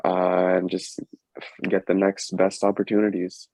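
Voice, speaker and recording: A man with a fairly low, quite flat voice chats as an actor guesting on a podcast. His delivery is informal and halting, and the recording is very dry. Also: no room sound, low-quality recording. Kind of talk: casual chat.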